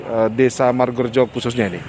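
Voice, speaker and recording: A man working as a field reporter, giving a formal on-location news report and interviewing people outdoors at a village hall, with measured speech and some hesitant fillers. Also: mid-range voice, flat delivery, a little mellow, slight background noise.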